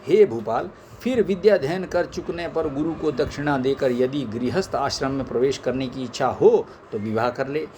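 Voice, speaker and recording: A man's voice: mid-range voice, moderate pace, mellow, a little hiss or hum. A man reading scripture aloud in a formal way, phrase by phrase, in an amateur recording. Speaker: reader of scripture.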